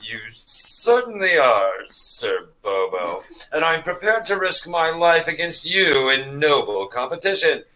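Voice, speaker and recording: A pompous, chivalrous knight with a cowardly streak, acted by a man, in a mock-medieval comedy. His highish, bright voice swoops widely as he declaims in formal flourishes. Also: very animated, measured pace, hardly any pauses, full character voice, rough recording. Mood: noble, earnest. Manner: formal, pompous, theatrical delivery. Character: florid, chivalrous young male knight, secretly cowardly. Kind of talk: comic mock-medieval sketch acting.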